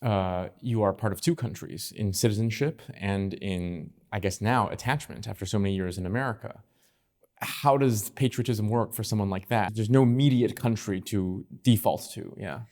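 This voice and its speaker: A man's voice: lowish voice, moderate pace, darkish tone. A young man interviewing a guest, casual, dropping short affirmations and follow-up questions. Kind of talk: interview conversation.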